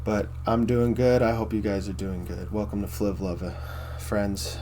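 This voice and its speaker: A man with a baritone voice hosting a casual philosophy monologue, rambling and repeating himself with many fillers. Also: little pitch movement, normal speed, mellow, slight background noise. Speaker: host.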